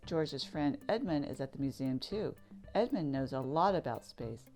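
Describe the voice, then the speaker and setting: A woman reading a children's picture book aloud, narrating in an expressive sing-song way. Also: rumbling low, moderate pace, faint music behind. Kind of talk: read-aloud storybook narration.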